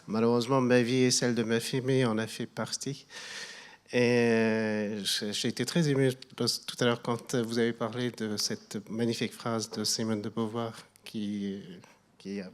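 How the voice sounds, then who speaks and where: A man's voice: mid-range voice, flat delivery, normal speed. A middle-aged man from Afghanistan with an Afghan accent, speaking as a guest at a public event. He is earnest and hesitant and often repeats words as he searches for them. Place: hall at a public evening event.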